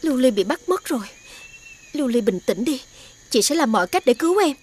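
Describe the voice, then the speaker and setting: Nàng tiên chị, giọng cao. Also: female voice, high-pitched, big pitch swings, fairly quick, a little mellow, slight background noise. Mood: worried, urgent. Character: female fairy, protective elder sister. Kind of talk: animated fairy-tale acting.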